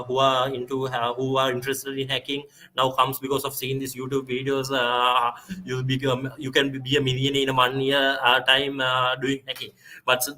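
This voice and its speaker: A young man from Sri Lanka, a native Sinhala speaker, talking as a guest on a live-stream video call, halting with many fillers and restarts. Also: mid-range voice, pushed and bright, rough recording.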